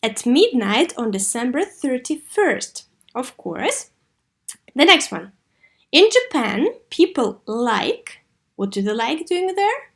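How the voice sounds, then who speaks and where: A woman teaching an English lesson to young learners, asking questions and answering them herself, with key words pronounced slowly and deliberately. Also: high-pitched. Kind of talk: scripted online lesson addressed to young learners.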